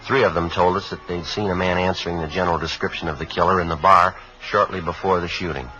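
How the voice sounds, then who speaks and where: A man's voice: sits low, steady tone, fast, bright, forceful tone. A man playing an American police sergeant in a 1950s radio drama, narrating and questioning in a terse, matter-of-fact, businesslike way. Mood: flat, factual. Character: calm, businesslike male police sergeant narrating his case. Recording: dull 1950s radio broadcast, faint music.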